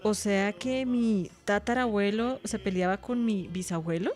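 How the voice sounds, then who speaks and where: A woman's voice: mid-range voice, measured pace, darkish tone, natural voice. A woman with a Colombian accent playing an attentive, affectionate granddaughter reacting expressively in a radio drama. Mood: moved, tender.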